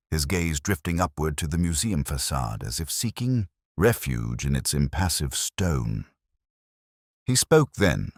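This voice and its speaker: A man narrating a scripted first-person detective story in a measured, literary, brooding manner. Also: a bass voice, no echo, studio quality.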